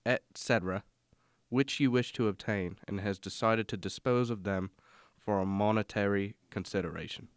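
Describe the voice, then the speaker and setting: Man acting as measured, low-voiced gentleman companion. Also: smooth, dry recording, clean studio sound, natural voice. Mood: formal reading. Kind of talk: radio drama narration and dialogue.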